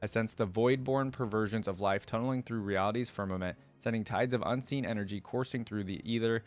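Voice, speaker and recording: A man reading a story aloud and breaking into casual, expressive, slangy commentary as a reaction host. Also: fairly low pitch, a little fast.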